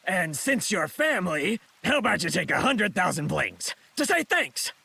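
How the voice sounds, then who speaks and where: An actor playing a brazen, scheming gang-leader swindler in a video game, boastful and wheedling in manner. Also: male voice, high-pitched, big pitch swings, normal speed, creaky, bright, forceful tone, breathy edge, heavily acted. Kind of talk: theatrical game cutscene voice acting.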